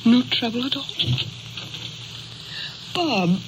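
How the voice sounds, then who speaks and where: A high-voiced, mourning mother. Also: animated, takes it slowly. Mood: wistful. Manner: sorrowful, theatrical. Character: tender, mourning mother of a poor family. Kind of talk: 1930s radio drama acting. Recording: muffled, noisy old 1930s radio recording.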